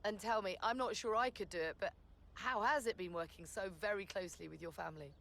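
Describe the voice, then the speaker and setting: An actress playing a polite, probing motorsport reporter conducting an interview in a video game. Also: female voice, mid-range voice, fast, gravelly, fairly bright tone, slight background noise. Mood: upbeat, probing. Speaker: sports reporter. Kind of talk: post-race interview acting in a video game.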